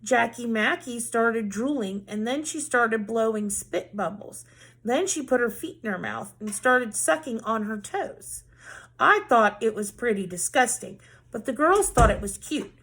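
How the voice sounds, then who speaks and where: A woman reading a children's story aloud in an expressive way, acting out the characters' dialogue. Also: a little high, measured pace, slightly rough. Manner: animated, acts out characters' dialogue.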